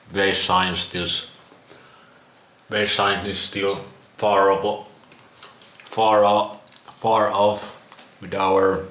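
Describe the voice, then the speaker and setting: A man with a Finnish accent talks slowly in a fairly low voice, like a vlogger reacting to things. He is casual, sarcastic and crude, with a mocking manner. The room adds clear echo. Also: a bit bright. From Finland. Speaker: vlog host. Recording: roomy echo, some background noise.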